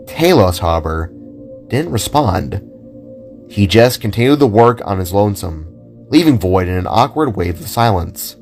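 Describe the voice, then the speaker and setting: A man narrates a scripted story for an audio drama. His voice sits low and he tells it in a dramatic storyteller's style. Soft music runs behind him. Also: lively intonation, normal speed. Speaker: narrator and writer.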